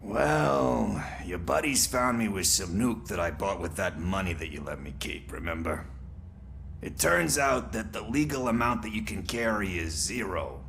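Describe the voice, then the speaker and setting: An actor playing a sarcastic, chatty small-time crook and informant in a video game. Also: male voice, fairly low pitch, lively intonation, measured pace, creaky, a bit bright, slight background noise, full character voice. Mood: sarcastic, jokey.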